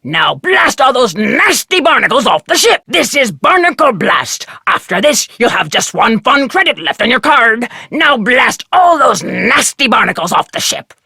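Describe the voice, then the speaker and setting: A performer voicing a cheery, piratical carnival game announcer with a raspy voice and jaunty, theatrical showmanship. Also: mid-range voice, big pitch swings, normal speed, hardly any pauses, sharp tone, no room sound, studio quality, exaggerated voice. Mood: rousing. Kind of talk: scripted arcade game announcements.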